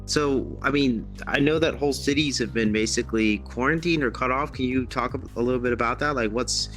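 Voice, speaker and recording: A man's voice: mid-range voice, fairly quick, fairly bright tone, soft background music. A man hosting a casual group discussion, eager and asking long, restarting questions. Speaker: host of a VR discussion show. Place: social VR room with music.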